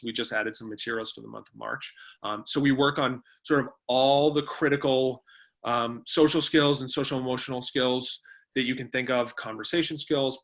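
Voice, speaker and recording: Bright-voiced man presenting a webinar. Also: medium pitch. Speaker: webinar presenter, company co-founder. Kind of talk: informal online presentation. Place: remote webinar.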